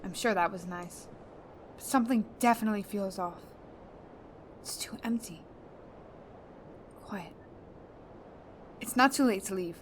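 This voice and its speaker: A woman's voice: medium pitch, a little slow, long pauses, a little hiss or hum, close to an everyday voice. A woman acting as an injured, stern spaceship captain in an audio drama, guarded and suspicious in her delivery. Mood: uneasy.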